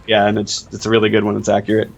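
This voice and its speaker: A man's voice: lowish voice, monotone, rapid-fire, vocal fry. A man in his twenties, a guest in a casual online interview, talking in a laid-back, rambling way and laughing often. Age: 20s. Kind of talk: casual chat.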